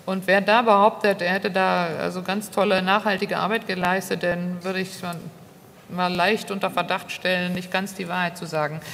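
A woman's voice: lowish voice, level tone, moderate pace, fairly bright tone. Middle aged woman arguing on a panel, emphatic, big echoey hall, noisy background. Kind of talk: unscripted panel discussion argument. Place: large wood-panelled conference hall. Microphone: table microphone on a stand. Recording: reverberant hall with clear background noise.

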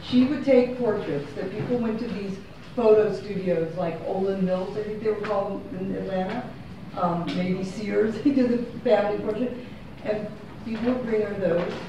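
A woman's voice: medium pitch, fairly even intonation, normal speed, dull audio. A woman photographer giving an unscripted gallery talk, rambling and correcting herself as she goes, recorded by a far camera in a small gallery room. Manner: rambling, self-correcting, chatty. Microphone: far room camera, no microphone. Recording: very echoey room from far off with background noise.

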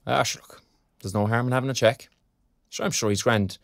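A man's voice: mid-range voice, brisk, gravelly, no echo, clean studio sound, lightly acted. An actor playing a kindly, chatty young graduate, a man with an Irish accent and a colloquial, concerned manner in a naturalistic audio drama. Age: young adult.

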